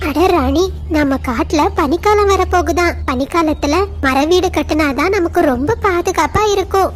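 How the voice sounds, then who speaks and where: A woman's voice: very high-pitched, moderate pace. A woman voicing a small, hardworking young sparrow in a moral cartoon, with an earnest, chirpy manner. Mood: urgent.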